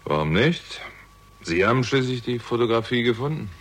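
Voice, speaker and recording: An actor playing a jaded, hard-drinking theatrical agent with a conscience, sardonic and world-weary, in a 1960s German radio crime drama. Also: male voice, medium pitch, animated, moderate pace. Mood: wry, weary.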